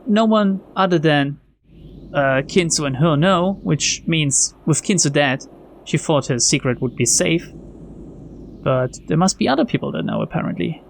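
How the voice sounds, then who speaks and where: A man's voice: on the high side, normal speed, vocal fry, a little hiss or hum. A man hosting a game video, reading text aloud and adding wry, casual commentary. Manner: informal, wry. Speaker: gaming video host. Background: soft game music.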